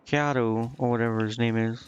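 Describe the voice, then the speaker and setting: A man casually commentating a game live and reading its text aloud, thinking out loud in a rambling way and stumbling over unfamiliar words. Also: mid-range voice, fairly even intonation, fairly quick, muffled recording, soft background music. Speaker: game streamer.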